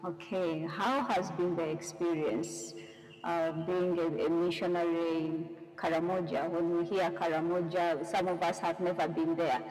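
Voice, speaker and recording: A woman's voice: lowish voice, little pitch movement, unhurried, a little mellow, big-room sound, low-quality recording. A woman, a religious sister, hosting a live interview, welcoming her guest and asking questions with some hesitant fillers.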